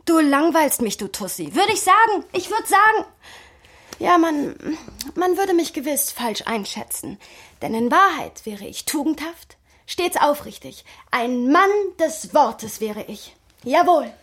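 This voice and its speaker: A woman's voice: high-pitched, big pitch swings, a little slow, a bit bright, no room sound, full character voice. An actress playing a wry, playful young woman who narrates memories of a friend in an intimate radio play style. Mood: playful, teasing.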